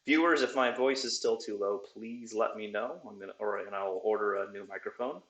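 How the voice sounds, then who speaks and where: A man with a lowish voice hosts a laid-back hobby chat, stopping and restarting often as he talks. His voice carries the echo of the room around him. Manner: relaxed, halting, with frequent fillers. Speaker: podcast host. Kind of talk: casual chat about a tabletop wargame. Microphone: new desktop microphone.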